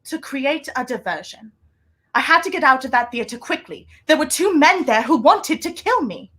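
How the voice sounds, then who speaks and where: A hunted female secret agent acted by a woman speaks in a fairly high voice. She is intense and secretive, weighing her words with deliberate drama in the style of a 1930s radio thriller. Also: animated, fairly bright tone. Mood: urgent, pleading. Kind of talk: 1930s radio play acting.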